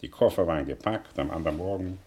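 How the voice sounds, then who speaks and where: An actor playing a melancholy, sardonic exiled professor in a German radio drama, musing and declaiming with long pauses. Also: male voice, low voice, a little mellow, slightly rough. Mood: wistful.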